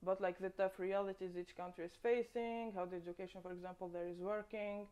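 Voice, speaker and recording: A woman's voice: mid-range voice, steady tone, quick pace, mellow, clean tone. A woman in her twenties from Tunisia, a volunteer who talks earnestly about what connects people. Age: 20s.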